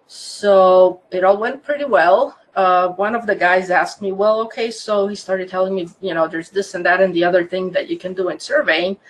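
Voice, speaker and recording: A woman's voice: mid-range voice, steady tone, moderate pace, hardly any pauses, poor audio. A woman in her fifties from Croatia, speaking English with a Croatian accent as an interview guest, telling her story in a chatty way with frequent laughs and restarts. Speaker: guest, land surveyor.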